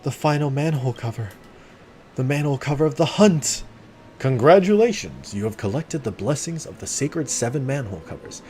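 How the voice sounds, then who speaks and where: A man's voice: medium pitch, very animated, normal speed, darkish tone, a little hiss or hum. A man commentating casually on a game livestream, chatty and thinking aloud, with dry joking remarks. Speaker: game streamer. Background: game music.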